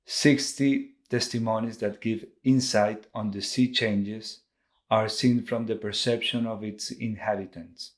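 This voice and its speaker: Low-pitched Colombian man, formal narration. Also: steady tone, measured pace. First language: Spanish. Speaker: visual artist. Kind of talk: scripted narration presenting art projects.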